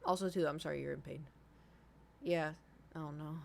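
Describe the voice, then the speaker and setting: A woman's voice: sits low, fairly even intonation, moderate pace, creaky, slight background noise. A woman in her thirties chatting casually to livestream viewers, rambling informally, swearing freely and repeating herself for emphasis. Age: 30s. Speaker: livestream host.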